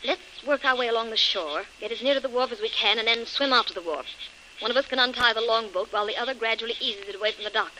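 A woman's voice: a little high, quick pace, sharp tone. An actress playing a plucky, determined woman in danger, earnest and resolute, in an old-time radio adventure serial. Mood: urgent, decisive. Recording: noisy vintage radio recording.

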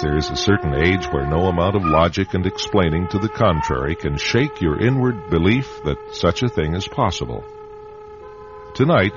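Deep-voiced dramatic radio narrator man. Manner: dramatic, measured storytelling. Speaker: host and narrator. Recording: dull radio recording over music.

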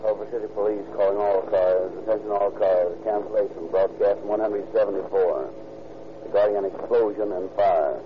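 A man's voice: fairly low pitch, moderate pace, pauses often, lightly acted. A man playing a clipped police dispatcher. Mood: flat. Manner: clipped, monotone announcements. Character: flat male police radio dispatcher. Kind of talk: 1930s radio acting. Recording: muffled, hissy 1930s radio recording.

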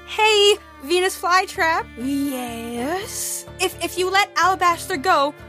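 A woman's voice: very high-pitched, moderate pace, bright, forceful tone, faint music behind. A woman with an earnest, somewhat hesitant manner, playing herself as a guest in an improvised audio adventure for children. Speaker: guest co-star.

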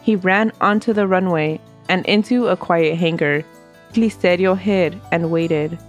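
A woman with a fairly low voice narrates a scripted history tale as the host of her podcast. She sounds stuffy from a cold and keeps a steady storytelling pace. Faint music plays softly behind her. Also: a little slow.

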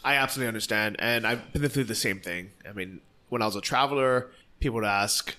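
An American man hosts a casual conversation, talking fast and bluntly. His voice is bright and forceful. He acts out other people's lines with energy as he tells his stories. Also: fairly low pitch. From United States. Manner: blunt, energetic storytelling with acted-out quotes.

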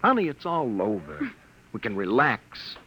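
An actor playing a tense, determined husband on the run in a 1950s radio drama. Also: male voice, fairly high pitch, wildly swooping pitch, measured pace, creaky, sharp tone, slightly rough, exaggerated voice. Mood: relieved, weary. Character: tense, determined husband, a fugitive time traveler. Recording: noisy vintage radio recording.

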